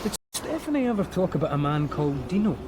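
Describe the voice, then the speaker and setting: A persistent police detective. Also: female voice, deep voice, sing-song, fast talker. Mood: probing. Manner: probing, persistent. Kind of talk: radio drama acting. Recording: radio drama with street noise.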